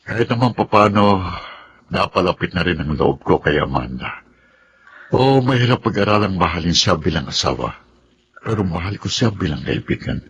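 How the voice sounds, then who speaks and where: A man acting in a Filipino radio drama as a gentle, resigned middle-aged father. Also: sits low, animated, normal speed, a little hiss or hum. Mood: resigned, tender.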